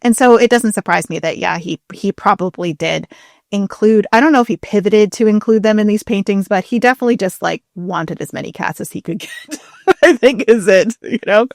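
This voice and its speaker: A woman speaks at a middling pitch as a podcast co-host. She explains in a casual, chatty style, and the recording is dry with hardly any echo. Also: brisk, gravelly, darkish tone.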